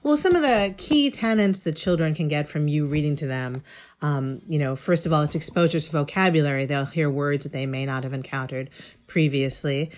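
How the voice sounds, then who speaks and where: A woman's voice: sits low, a little fast, darkish tone. A woman reporter giving conversational, explanatory answers in an interview. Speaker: education reporter, guest.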